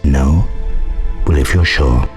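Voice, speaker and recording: A man's voice: rumbling low, slow, a little mellow, faint music behind, pitched below the performer's own voice. A man voicing a sly, pondering magical hat in storybook narration, musing and persuasive.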